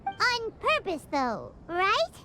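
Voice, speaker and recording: A woman voicing a tiny, chirpy, childlike fairy companion in a video game, squeaky, perky, curious and cheeky. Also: wildly swooping pitch, takes it slowly, bright, forceful tone, faint music behind, heavily acted. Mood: disapproving. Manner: speaks of herself in third person, perky. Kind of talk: video game character dialogue.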